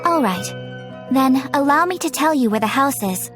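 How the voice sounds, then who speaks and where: A woman playing a composed, formal guild receptionist, courteous and measured, in a video game. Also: high-pitched, expressive. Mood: composed, helpful. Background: music.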